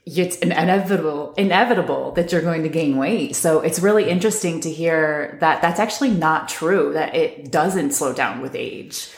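A woman co-hosting a casual health podcast, upbeat and quick to laugh along. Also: deep voice, roomy sound. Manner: laughs often, affirming. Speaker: podcast co-host. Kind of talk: casual podcast chat and ad read.

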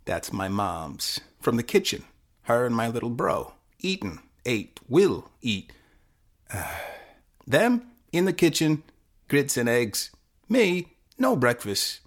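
Man acting a slow, halting, confused teenage boy. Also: mid-range voice, sing-song. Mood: nervy, muddled. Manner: fragmented, self-correcting, halting musing. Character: confused, fatalistic teenage boy narrator, an eleventh grader. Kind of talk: first-person dramatic short-story performance.

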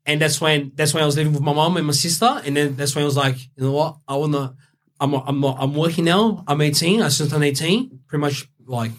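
Laid-back young Brazilian-born man, fairly high voice. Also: fast talker. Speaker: interview guest. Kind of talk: candid storytelling.

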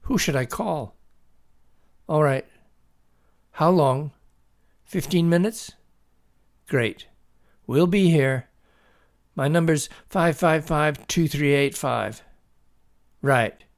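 A calm middle-aged dad in an audiobook story, voiced by a male narrator, with a gravelly edge. He drawls in a measured, reassuring and slightly sceptical way. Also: fairly high pitch, voice pushed up. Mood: businesslike, hesitant.

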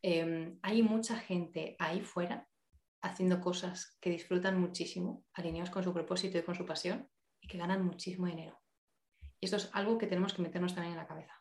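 A woman's voice: fairly low pitch, fairly even intonation, a little fast, a little mellow, reverberant. A woman from Spain, a podcast guest speaking in an earnest, encouraging way. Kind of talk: casual podcast interview.

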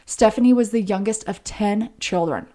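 A woman's voice: mid-range voice, normal speed, dark tone. A woman co-hosting a podcast, narrating true crime in an informal way with chatty asides. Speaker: podcast co-host.

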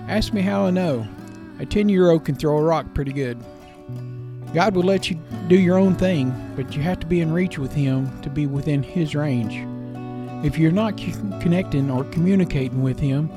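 A man in his fifties hosts a devotional podcast with a fairly high-pitched voice. He speaks plainly and folksily, pressing his points in short, emphatic phrases. Guitar music plays clearly behind him. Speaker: podcast host. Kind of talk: devotional talk with personal childhood stories.

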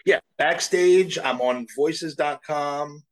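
A man, a voice actor, talking casually in an interview, modest and halting, with many restarts and fillers. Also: a little high. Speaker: voice actor guest.

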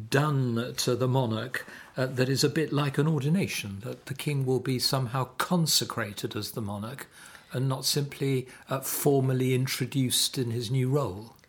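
A middle-aged man from Sweden talks through church history in measured, thoughtful sentences, pausing now and then to find his words. His voice sits at an ordinary pitch for a man. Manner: measured, hesitant with fillers. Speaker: podcast co-host, vicar. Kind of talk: conversational theological discussion.

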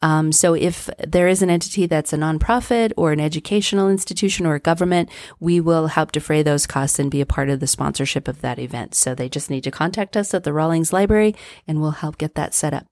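Low-voiced woman, dry close mic. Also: a little fast, a little mellow, no room sound. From Colorado, US. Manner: friendly, informative, some fillers. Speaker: library executive director, podcast guest. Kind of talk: casual interview answers.

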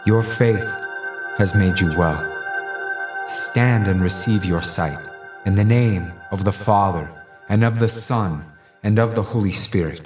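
A man's voice: lowish voice, measured pace, lightly acted. A man playing a devout, humble apostle and healer in an audio drama, solemn and fervent. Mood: calm, commanding. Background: music.

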